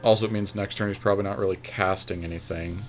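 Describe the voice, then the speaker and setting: Guy with a lowish voice, chill game commentary, unhurried, some noise behind him. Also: fairly even intonation. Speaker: podcast host and player. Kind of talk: casual co-commentary on a card game being played.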